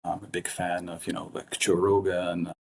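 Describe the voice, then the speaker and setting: A man from Ukraine with an Eastern European accent, speaking earnestly and haltingly as an interview guest. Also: low-pitched, little pitch movement, a little mellow, breathy edge. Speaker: podcast guest, investment fund partner. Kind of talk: casual interview talk.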